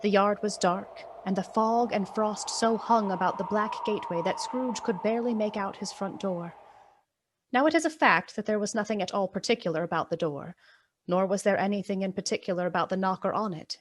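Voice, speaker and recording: A woman narrating a radio play as a storyteller, deliberate, suspenseful and emphatic. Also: mid-range voice, natural voice. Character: storyteller of a gloomy Victorian ghost tale. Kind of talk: scripted dramatic storybook narration.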